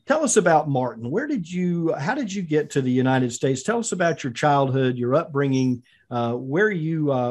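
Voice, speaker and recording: A man hosts a talk podcast at a middle pitch. He asks loose, meandering questions packed with "uh"s and repeated words, circling before he gets to the point. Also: a little mellow. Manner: rambling, filler-heavy questions with many restarts. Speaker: podcast host. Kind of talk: casual interview hosting.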